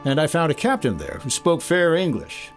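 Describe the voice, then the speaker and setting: A man playing a wry, suspicious American investigator with a brisk manner, in the style of 1950s radio detective drama. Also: on the high side, big pitch swings, soft background music. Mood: wry narration. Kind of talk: 1950s radio detective acting, first-person narration.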